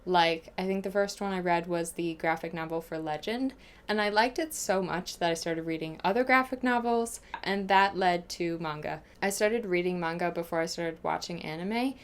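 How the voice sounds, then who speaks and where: Animated young American woman chatting casually. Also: lowish voice, normal speed, clean tone. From United States. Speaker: book vlogger. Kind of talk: casual chat answering viewer questions.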